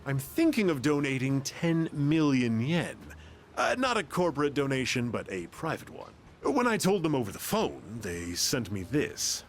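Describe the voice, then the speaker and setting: A man's voice: medium pitch, sing-song, normal speed, slight background noise, natural voice. A man playing a boastful, self-important rich schemer with a pompous, defensive manner in an anime game. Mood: smug, defensive. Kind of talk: anime game dub acting.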